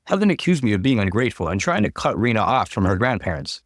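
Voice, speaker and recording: A man reading a scripted relationship story aloud in an expressive, dramatic narrating style. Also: lowish voice, fast, dry recording, studio quality. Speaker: narrator reading online stories.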